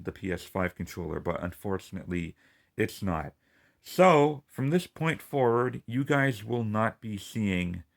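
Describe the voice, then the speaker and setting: Lisping male gamer, rambling frustrated rant, low-ish voice, unhurried delivery. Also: creaky. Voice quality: lisp. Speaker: gaming video creator. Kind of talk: casual ranting vlog.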